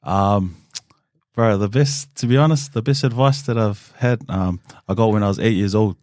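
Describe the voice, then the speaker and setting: A man in his thirties with a low voice shares his story in an easy, candid way. He fills his pauses with "um" and "kinda". The sound is close and dry, with no room around it. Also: dry recording. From New Zealand. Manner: relaxed and open, with frequent "um" and "kinda". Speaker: guest.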